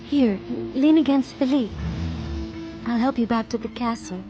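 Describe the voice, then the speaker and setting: A woman's voice: a little high, moderate pace, muffled recording, lightly acted. A woman voicing a kind, brave young woman, earnest and heartfelt. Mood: caring. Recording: music playing behind.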